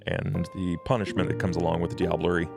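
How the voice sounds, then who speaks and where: A man playing a formal, careful vampire mentor who speaks in halting, measured phrases with a gravelly voice. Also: low voice, little pitch movement, over loud music. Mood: earnest, reassuring.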